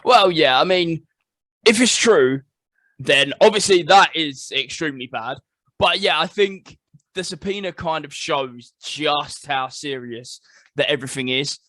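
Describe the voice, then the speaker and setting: A man's voice: a little high, unhurried, fairly bright tone, no room sound. A man from England hosting a casual esports podcast, upbeat and leading the chat with short reactions. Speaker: podcast host.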